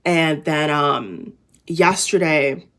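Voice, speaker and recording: A woman with a low, raspy voice talks to her viewers in a loose, rambling inspirational vlog. She sounds tired, and she keeps circling back with restarts and fillers as she works out her thoughts. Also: drawn-out words, fairly bright tone. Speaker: vlogger. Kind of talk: casual inspirational talk to camera.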